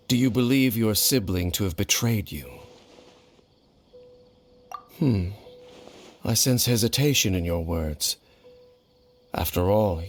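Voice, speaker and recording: An actor playing a brooding ancient male wanderer in a video game, solemn and vengeful, with a grave, formal, measured delivery. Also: lowish voice. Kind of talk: scripted video game voice acting.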